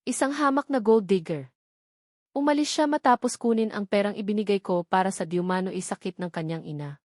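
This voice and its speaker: A woman's voice: mid-range voice, brisk, darkish tone, no room sound, studio quality, natural voice. A woman voicing a haughty, scornful older mother of a wealthy family in a story, cold and dismissive.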